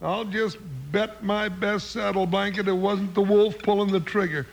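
High, gravelly cowboy sidekick. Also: male voice, steady tone, normal speed. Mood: genial. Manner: folksy, drawling, comic reluctance. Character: genial, hungry, folksy cowboy sidekick. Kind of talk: 1950s radio western acting. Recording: noisy old-time radio recording.

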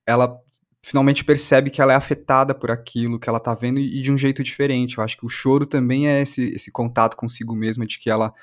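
A Brazilian man speaks in a conversational, analytical way as an interviewer. He talks fast, in a mid-range voice. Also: medium pitch, level tone.

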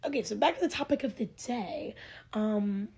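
A woman's voice: medium pitch, moderate pace, gravelly, warm tone. Young woman, 19, co-host; loose, chatty delivery heavy on fillers. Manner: chatty, many fillers and restarts. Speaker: podcast co-host. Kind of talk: casual chat.

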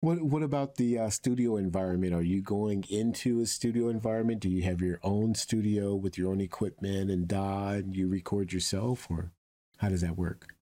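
A man's voice: fairly low pitch, moderate pace, warm tone. A man hosting a conversational interview, putting his questions in a hesitant, wordy way. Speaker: interviewer, host.

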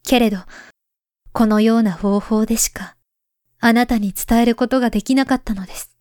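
A woman voicing a grown woman who writes to her past self, speaking softly and gravely, often near a whisper. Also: a little high, steady tone, brisk, no echo, clean studio sound, lightly acted. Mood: earnest. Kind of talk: voice drama letter monologue.

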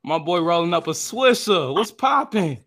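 A man's voice: high voice, big pitch swings, moderate pace, a crowd in the background. A man hosting a casual group chat, talking in loose, slangy, teasing speech. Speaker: podcast host.

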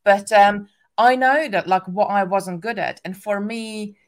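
A woman speaks English with a strong Hungarian accent, her first language showing in the grammar. She rambles casually in a mid-pitched voice, full of restarts and small laughs, as a guest on a chat show. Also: a little slow. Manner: chatty, many restarts and "like" fillers, laughs often. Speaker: guest, craft business owner.

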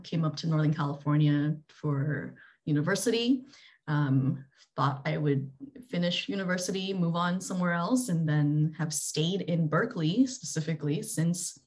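A young woman from Singapore, a podcast guest on a call, chatting in a relaxed, matter-of-fact way. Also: deep voice, moderate pace. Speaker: interview guest. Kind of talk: casual chat. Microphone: remote call.

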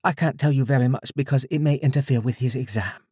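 Audiobook role: composed woman, higher register, firm yet soothing, keeps things back; male narrator performs her. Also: fast talker, a little mellow, slightly rough, no echo, clean studio sound, close to an everyday voice. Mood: calm, reassuring. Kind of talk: audiobook character dialogue.

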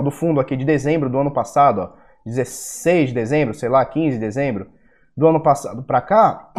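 A man's voice: on the high side, a little mellow. A young Brazilian man with a Brazilian Portuguese accent, hosting a casual market-analysis video, blunt and profane, with heated rants. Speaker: crypto video host.

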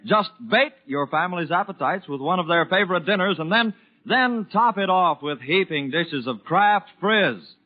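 A man announcing and reading ad copy in scripted 1940s radio style, with salesmanlike, deliberate emphasis. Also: high-pitched, lively intonation. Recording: muffled 1940s radio broadcast.

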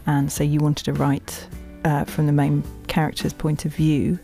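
A woman's voice: deep voice, completely flat delivery, normal speed, gravelly, dark tone, soft background music. A woman with a southern English accent chatting casually in an interview, in a jokey, agreeable way. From England. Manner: jokey, agreeable, laughs often. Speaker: voice actor and audiobook narrator.

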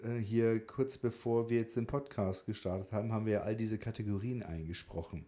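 Low-voiced man co-hosting a podcast. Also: brisk, warm tone. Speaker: podcast co-host. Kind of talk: casual podcast chat.

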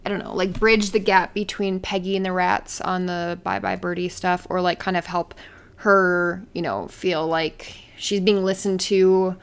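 A woman's voice: on the low side, level tone, unhurried, gravelly, smooth. A woman chatting casually as co-host of a TV recap podcast. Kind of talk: casual chat.

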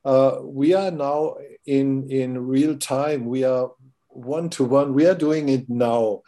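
A man in his sixties with a German accent, a podcast guest in an interview, speaking haltingly with many fillers as he searches for words. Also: medium pitch, steady tone, dark tone. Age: 60s. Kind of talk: interview conversation.

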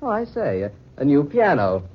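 A man's voice: on the high side, wildly swooping pitch, normal speed, slightly rough, heavily acted. An actor playing a mysterious, suave and sinister man in a 1940s radio drama, speaking formally and theatrically. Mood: mockingly polite. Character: mysterious, suave, sinister masked man telling his tale. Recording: dull old 1940s radio recording.

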